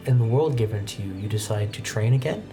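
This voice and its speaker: A man's voice: fairly low pitch, quick pace, mellow. Man narrating a tabletop game over music. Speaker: game master.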